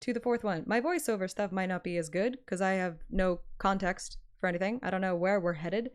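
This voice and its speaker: A woman chatting casually on a livestream in an animated, self-deprecating and sometimes sweary way. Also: medium pitch, brisk. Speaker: live streamer and amateur voice actor. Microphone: microphone at adjustable close distance.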